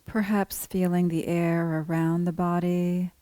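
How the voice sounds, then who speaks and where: Female meditation guide: relaxed dark tone, very unhurried, calm measured instruction. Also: on the low side, no echo. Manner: calm, unhurried, gently measured phrasing with pauses. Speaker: meditation teacher guiding a body scan.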